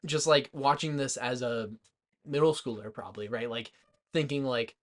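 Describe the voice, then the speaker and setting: A man's voice: mid-range voice, moderate pace. A man co-hosting a podcast, chatting casually about movies in a rambling way, with lots of "like" thrown in. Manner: rambling, peppered with "like". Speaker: podcast co-host.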